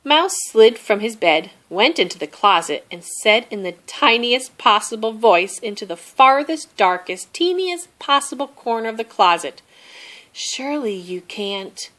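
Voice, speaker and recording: A woman reading a children's story aloud as an expressive narrator. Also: fairly high pitch, moderate pace, hardly any pauses. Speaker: storybook reader.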